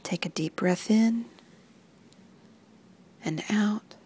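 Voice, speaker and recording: A woman reading a guided muscle relaxation script in soft, even instructions that often sink into a whisper. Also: mid-range voice, drawn-out words, breathy edge.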